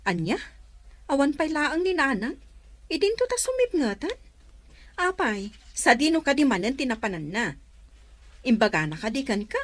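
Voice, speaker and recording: A woman's voice: high-pitched, sing-song, moderate pace, long pauses, no echo. A woman playing a caring but exasperated aunt who fusses over her niece and scolds her mother in an Ilocano comedy-drama.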